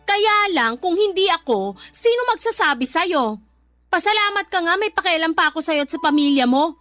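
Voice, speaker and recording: High-pitched, nagging older sister. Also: female voice, expressive, moderate pace, a bit bright, no room sound. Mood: exasperated, pleading. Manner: scolding, emphatic. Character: nagging, exasperated, concerned older sister of a lazy man. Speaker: voice actress in a radio drama. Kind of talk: Filipino radio drama dialogue.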